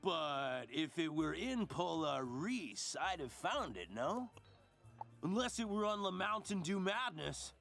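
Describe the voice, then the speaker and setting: A man's voice: on the high side, very animated, slow pace, vocal fry, fairly bright tone, faint music behind, full character voice. A man playing an eccentric, theatrical explorer in a cartoonish video game, with an exaggerated French accent. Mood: jaunty, boastful. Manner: theatrical, mangles French into English.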